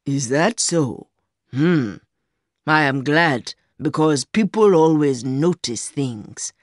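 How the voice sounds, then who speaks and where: Woman voicing a low-pitched, patronising older man. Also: expressive, dry recording, studio quality. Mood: stern, dismissive. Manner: slow, condescending, fatherly. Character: older rich man, smooth, patronising secret lover. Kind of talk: acted dialogue in audiobook story.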